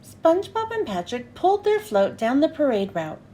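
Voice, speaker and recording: A woman reading a picture book aloud to children with an expressive, animated delivery. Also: sits high, moderate pace.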